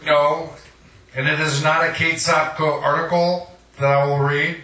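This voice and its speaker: A man introduces a reader in a joking, mock-formal way. His voice is fairly high and sharp-edged, and it rings through a very echoey room, picked up from a distance. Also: level tone, measured pace. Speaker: poetry night host. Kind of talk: informal joking stage introduction. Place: live poetry reading at a cafe.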